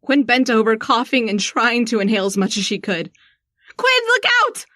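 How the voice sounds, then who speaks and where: A woman playing a shaken, sharp-tongued young woman in a first-person audio drama. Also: a little high, very animated, moderate pace, dry recording, studio quality. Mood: desperate cry.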